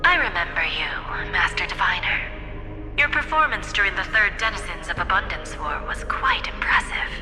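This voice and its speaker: An actress playing a poised, shrewd corporate executive in a game, with polished, measured, diplomatic delivery. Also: female voice, fairly low pitch, expressive, lightly acted. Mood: smooth, assured. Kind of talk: scripted game cutscene acting. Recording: echoey hall reverb, music underneath.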